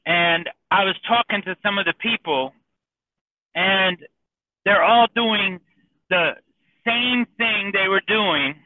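Earnest guy calling in, high voice, sounds like a tinny phone. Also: slow pace, long pauses, dry recording. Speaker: group member. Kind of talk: casual discussion.

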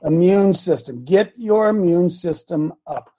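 An American man with a raspy voice hosting a religious talk show, chatting casually in a folksy, animated way and telling rambling personal stories. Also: high-pitched, measured pace. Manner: folksy, animated, repeats phrases for emphasis.

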